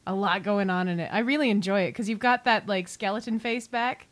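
A woman with an average-pitched voice co-hosts a casual podcast interview. She speaks informally and encourages the guest. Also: a little fast.

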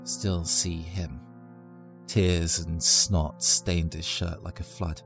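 Deep voiced guy telling a horror story first person, tense and dramatic, quiet music behind. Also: little pitch movement, slow pace, creaky. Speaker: narrator.

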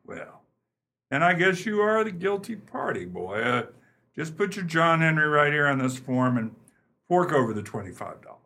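A man's voice: fairly high pitch, very animated, normal speed, pushed and bright, voice pushed up, exaggerated voice. A man playing a folksy, lenient police sergeant in a radio play, hemming and speaking ungrammatically. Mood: folksy, stern. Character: folksy, lenient small-town police sergeant dispensing fines.

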